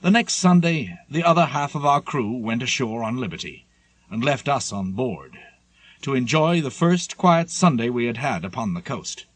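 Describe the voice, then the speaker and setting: A man narrating a seafaring memoir as an audiobook, reading scripted prose in a measured, literary storytelling manner. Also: mid-range voice, lively intonation, no echo.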